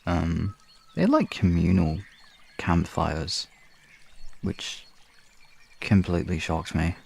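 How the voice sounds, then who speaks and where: Low, gravelly man chatting on stream. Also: big pitch swings, slow, a little mellow. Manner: rambling, self-correcting, mildly sweary. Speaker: live streamer. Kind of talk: casual livestream chat.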